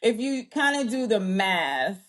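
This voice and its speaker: A woman's voice: medium pitch, sing-song, unhurried, a bit bright. A woman in her early forties, born in Jamaica, chatting as an interview guest, rambling and correcting herself as she goes. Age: early 40s. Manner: rambling, self-correcting, laughs often. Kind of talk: casual interview chat.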